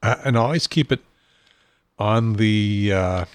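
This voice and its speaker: A man hosting his own talk show from a home studio desk, rambling and self-deprecating, with a husky, unsteady voice and frequent throat clearing. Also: lowish voice, measured pace, dry recording. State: has a probe in his nose. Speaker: podcast host.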